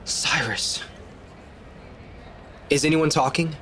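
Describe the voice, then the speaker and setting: An actor playing a troubled, defensive police detective in an audio drama, terse, intense and weary. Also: male voice, on the high side, takes it slowly, a bit bright, a little hiss or hum. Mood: tense. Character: troubled, defensive male police detective haunted by a failed case.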